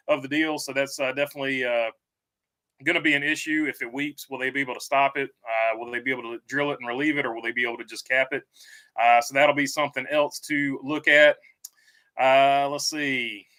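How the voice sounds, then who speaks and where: Male streamer, bright tone, halting and casual, reads viewer comments aloud. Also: mid-range voice. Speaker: livestream host. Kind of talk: casual live stream chat.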